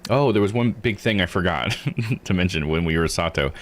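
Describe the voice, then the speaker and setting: A man's voice: sits low, brisk, slight background noise. A man giving casual, rambling live commentary on a game, explaining and thinking aloud as he plays. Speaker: streamer playing and recapping a visual novel.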